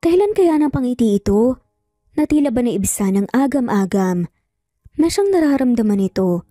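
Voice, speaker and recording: A woman's voice: a little high, sing-song, moderate pace, mellow, dry recording, clean studio sound. A woman narrating a scripted dramatic story as a storyteller. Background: background music.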